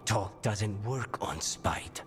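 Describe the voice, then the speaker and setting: An actor playing a weary, guarded assassin in a fantasy game, stoic and understated. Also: male voice, on the low side, slow pace. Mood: grim, resigned.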